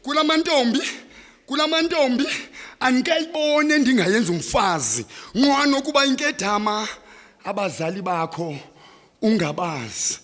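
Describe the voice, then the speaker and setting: Xhosa guy doing slam poetry live, high voice, really dramatic delivery, big echoey hall. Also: lively intonation, moderate pace. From South Africa. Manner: impassioned, dramatic, swelling from hushed to loud. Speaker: slam poet performing on stage. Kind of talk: live spoken-word poetry, declamatory and rhythmic. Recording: live stage performance, reverberant hall.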